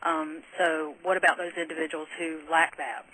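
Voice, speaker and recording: A man phones in to a conference call to ask a formal question. His voice is fairly high and bright, with a flat, even melody. He is polite and measured, hesitating as he frames his point. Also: low-quality recording. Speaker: caller asking a question. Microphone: telephone conference line.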